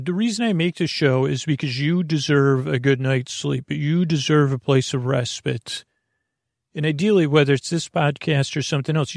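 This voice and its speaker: A man with a noticeably creaky, gravelly voice hosts a sleep podcast. He wanders from tangent to tangent, halting and stammering as he goes. The sound is close and very dry, with hardly any room around it. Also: mid-range voice, no echo. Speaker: podcast host. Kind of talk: rambling bedtime sleep podcast monologue.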